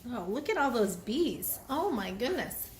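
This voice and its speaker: A woman reading a picture book aloud to children, pausing to explain letter sounds and ask the young listeners questions. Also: fairly high pitch, big pitch swings, a little hiss or hum. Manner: addresses young children directly with questions and commentary. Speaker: children's read-aloud host and teacher. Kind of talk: picture book read aloud with phonics asides.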